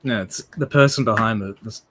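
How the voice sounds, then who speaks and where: A man's voice: on the low side, a little fast, a bit bright, husky. A man with an Australian accent chats on his live stream. He talks loosely, with frequent fillers and restarts. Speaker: livestream host. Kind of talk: casual live-stream chat.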